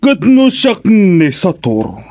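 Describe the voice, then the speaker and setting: A jovial, teasing old Filipino pal played by a man in a radio comedy. He drags his words out extremely slowly, with playful swoops in a fairly high male voice. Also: huge pitch swings, warm tone, exaggerated voice. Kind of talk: comedic radio drama acting.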